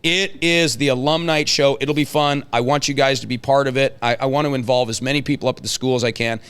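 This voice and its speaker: A man's voice: medium pitch, steady tone, fast talker, fairly bright tone, clear, resonant tone. A man hosting a casual talk show, chatting in a folksy way and prodding guests with questions. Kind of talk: casual chat, interviewing guests.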